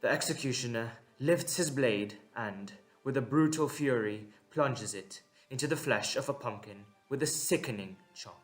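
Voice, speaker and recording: A man's voice: mid-range voice, moderate pace, few pauses, faint music behind. A man giving dramatic scripted narration for an audio drama.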